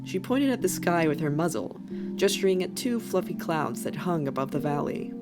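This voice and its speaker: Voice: mid-range voice, expressive, moderate pace. Story narrator over faint music. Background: faint background music.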